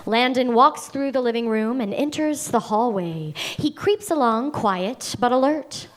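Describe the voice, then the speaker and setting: A woman reading the stage directions at a live table read in a measured, deliberate way. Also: on the high side, lively intonation.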